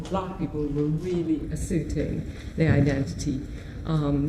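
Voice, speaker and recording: A woman in her fifties with a Trinidadian accent, giving an informal, conversational artist talk about her own memories. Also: low voice. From Trinidad and Tobago. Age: 50s. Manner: conversational, often checking in with "you know". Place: gallery talk in a room. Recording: echoey room, noticeable background noise.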